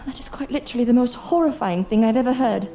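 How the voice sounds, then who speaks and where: Woman playing a mellow-voiced, sharp-tongued reluctant adventuress. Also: a little high, fast talker, a little hiss or hum, lightly acted. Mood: fearful, reproachful. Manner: formal, exasperated, theatrical. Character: sharp-tongued, reluctant female companion of an explorer. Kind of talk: period adventure horror audio drama.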